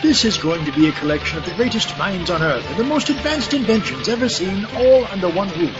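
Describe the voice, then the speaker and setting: A high, swooping voice for a pompous mad scientist, played by a man, petty and easily outraged. He declaims grandly in a comic audio drama. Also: very animated, a little fast, lo-fi sound. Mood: scornful. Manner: theatrical, grandiose, indignant. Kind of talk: comedic audio drama acting. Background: music.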